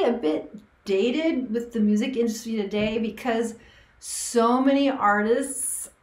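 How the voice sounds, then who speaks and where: A middle-aged woman, a voice teacher, reacting to an old clip in chatty commentary that restarts and corrects itself. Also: fairly high pitch. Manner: chatty, self-correcting, emphatic. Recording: echoey room.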